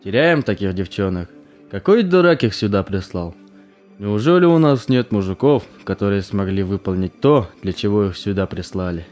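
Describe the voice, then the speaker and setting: A man playing a gruff, commanding army major who is exasperated but protective, in an amateur audio drama. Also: fairly low pitch, animated, normal speed, darkish tone, soft background music, lightly acted. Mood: resigned, bitter. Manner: commanding, stern.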